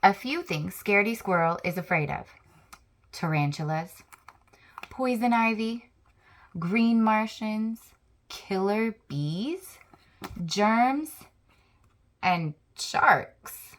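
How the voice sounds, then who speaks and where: A woman hosting a children's channel, reading a picture book aloud with slow, expressive storytelling. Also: mid-range voice.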